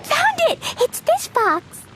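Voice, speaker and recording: A bubbly little girl, very high-pitched. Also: big pitch swings, slow pace, noisy background, exaggerated voice. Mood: excited. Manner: bubbly, exclaiming, humming while searching. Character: excitable little girl, cheerful and rash. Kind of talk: video game voice acting. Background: game music.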